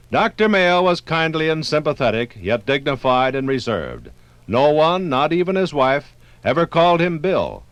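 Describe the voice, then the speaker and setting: A man's voice: mid-range voice, dry recording. An American man narrating a 1950s radio documentary from a script in a dramatic storytelling manner.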